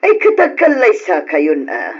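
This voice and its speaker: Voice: medium pitch, wildly swooping pitch, a little slow, slightly rough, exaggerated voice. A performer playing a generous, insistent auntie in an old radio drama. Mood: eager, persuading. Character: generous, eager auntie urging a quick wedding. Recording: dull lo-fi old radio recording.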